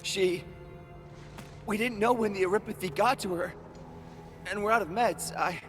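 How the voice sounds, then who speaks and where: Anxious young game guy, high voice, earnest and pleading, echoing hall. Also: normal speed, fairly bright tone. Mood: worried. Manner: pleading, hesitant. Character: earnest, anxious young man, devoted big brother. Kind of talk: video game voice acting. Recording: long reverb, faint music behind.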